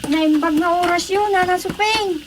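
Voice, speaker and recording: An actress with an Ilocano accent playing an excitable, eager village woman in a radio drama comedy. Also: extremely high pitch, normal speed, loud music behind the voice. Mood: cheerful, curious. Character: excitable, eager village woman Sita, copying her neighbour.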